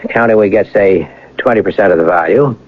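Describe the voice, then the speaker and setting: An actor playing a wealthy, educated young gentleman turned bank robber in a radio drama, polished and formal with measured pauses. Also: fairly low pitch, natural voice. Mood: suave, satisfied. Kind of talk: radio drama acting and first-person narration. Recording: muffled vintage radio broadcast.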